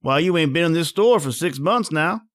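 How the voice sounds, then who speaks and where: A man's voice: on the high side, expressive, normal speed, a bit bright, no room sound, studio quality. A man acting a folksy, nosy small-town grocer in a radio drama, chatty and colloquial. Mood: folksy, prying.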